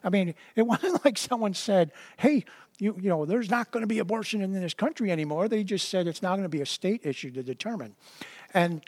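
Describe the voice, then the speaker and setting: A man preaching a sermon, reading scripture slowly and deliberately, then talking it over in a conversational way and often repeating words as he starts a sentence. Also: high voice, animated, a little mellow, no echo.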